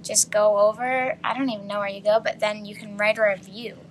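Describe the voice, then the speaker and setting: A woman's voice: mid-range voice, steady tone, a little fast, a little hiss or hum, rough recording. A woman co-hosting a casual faith podcast, chiming in with short, teasing remarks. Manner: brief teasing interjections. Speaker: podcast co-host.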